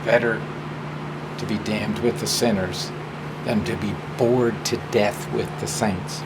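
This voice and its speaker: Male presenter, informal and deliberate, unhurried delivery with some gravel in it. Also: on the low side. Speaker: presenter and drag performer. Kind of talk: informal personal talk and story hour introduction. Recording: clear steady hum of background noise.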